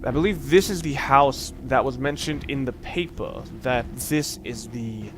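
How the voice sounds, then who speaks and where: Guy doing a lets play, middle pitched voice, rambles and corrects himself, dry little jokes, game music clearly behind him. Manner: rambling, halting self-corrections. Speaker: let's play host. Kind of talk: casual video game commentary. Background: video game music.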